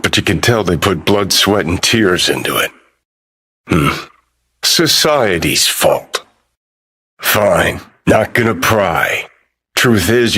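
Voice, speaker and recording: An American man playing a cynical, foul-mouthed, world-weary rebel rock star in a video game, with a gravelly, husky voice and a clipped, sardonic, swaggering drawl. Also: lowish voice, fairly bright tone, heavily acted. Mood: terse, cool. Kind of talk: video game character dialogue.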